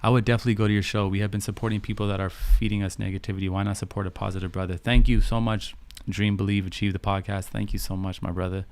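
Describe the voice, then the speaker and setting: A man's voice: on the low side, flat delivery, fast, hardly any pauses, a little mellow. A man, hosting a casual live stream chat in an affirming way, repeating phrases and checking that listeners understand. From Canada, grew up in Vancouver. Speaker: live stream host and podcaster.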